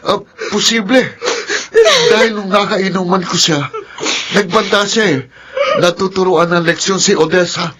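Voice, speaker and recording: Actor as high-voiced agitated family friend. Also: male voice, steady tone, lightly acted. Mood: upset, earnest. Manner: halting, agitated, tearful. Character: agitated male family friend bringing terrible news. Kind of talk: Filipino radio melodrama acting. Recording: loud background noise.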